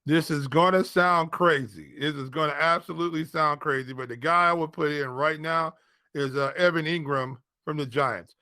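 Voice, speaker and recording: A man's voice: on the high side, fairly even intonation, normal speed, pushed and bright, no echo. A man co-hosting a casual sports chat, giving picks in informal, slangy talk and repeating phrases for emphasis. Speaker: fantasy football podcast co-host.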